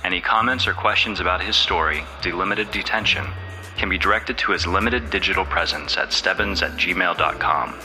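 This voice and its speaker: Casting: male credits announcer, deep register, scripted read over a prominent music bed. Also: a little fast, pushed and bright, poor audio.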